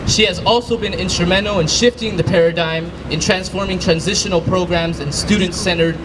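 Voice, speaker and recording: A man's voice: high-pitched, steady tone, a little fast, noisy background. A man reads a prepared public address in a formal, earnest manner, speaking for a group.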